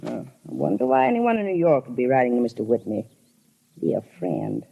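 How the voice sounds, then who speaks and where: A woman's voice: extremely low pitch, wildly swooping pitch, fairly quick, gravelly, phone-quality sound, heavily acted. An actress playing a brusque, nosy middle-aged small-town woman with a dry, scolding manner in a 1950s radio drama. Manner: dry, sharp-tongued, scolding. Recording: old radio recording with background hiss.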